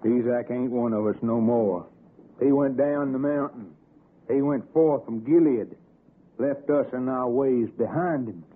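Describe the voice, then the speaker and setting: A man's voice: mid-range voice, measured pace, slightly rough. A man playing a devout, reluctant mountain father in a 1960s radio drama, with solemn, folksy speech. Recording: tinny vintage radio, faint music.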